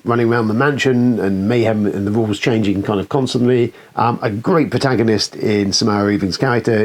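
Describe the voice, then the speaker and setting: Man, very lively pitch, rambling casual commentary, sweary, enthusiastic review show host. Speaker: host of a board game film review show. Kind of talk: casual, chatty countdown commentary.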